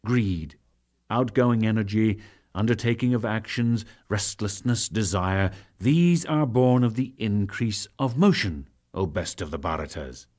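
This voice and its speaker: A man's voice: lowish voice, lively intonation, a little mellow. Solemn male narrator with sweeping intonation. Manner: solemn, measured, formal phrasing with deliberate pauses. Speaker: audiobook narrator. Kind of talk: dramatic reading of scripture.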